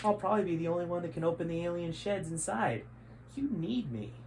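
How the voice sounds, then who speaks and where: A man's voice: high-pitched, expressive, brisk, a little mellow, a little hiss or hum, voice pushed up. A man voicing a cocky male adventurer who talks back brashly in a read-aloud story. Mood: defiant.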